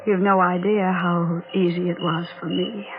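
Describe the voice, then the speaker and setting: An actress playing a bitter, possessive older sister in a 1940s radio melodrama, intense and clipped. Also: female voice, fairly low pitch, little pitch movement, measured pace, over music. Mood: icy, sarcastic. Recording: tinny, noisy 1940s radio recording.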